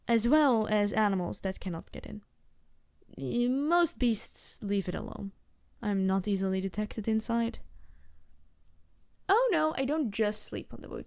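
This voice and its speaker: A woman acting as a genteel female vampire merchant in a fantasy audio roleplay. She is courteous, earnest and a little playful, with formal phrasing and hesitant asides. The voice is close and very dry, at a medium pitch. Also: a little mellow, no echo. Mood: genteel, chatty.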